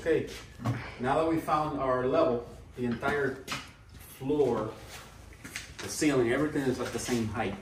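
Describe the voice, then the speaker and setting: A man's voice: mid-range voice, unhurried, pauses often, over loud music. A man hosting a renovation channel, explaining the work step by step in a casual how-to way inside a gutted house. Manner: plain, steady explaining. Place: large gutted house under renovation, indoors. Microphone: camera mic from across the room. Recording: echoey room from far off, loud job-site noise.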